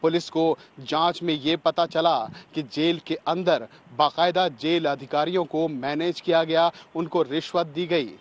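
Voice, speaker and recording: A man's voice: on the high side, fairly even intonation, unhurried, hardly any pauses. A man working as a news reporter, explaining a story in report style.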